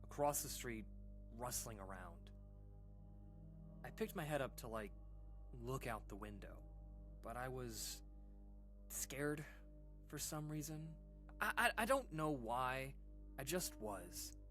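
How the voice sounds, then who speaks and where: An actor plays a man sharing an unsettling memory from his childhood in an audio drama. His delivery is informal and hesitant, broken by pauses, yet his pitch swings widely around a medium register. Faint music plays behind him. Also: lively intonation. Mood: hesitant, uneasy. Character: uneasy man recounting an eerie childhood memory to listeners. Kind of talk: audio drama monologue, conversational horror storytelling.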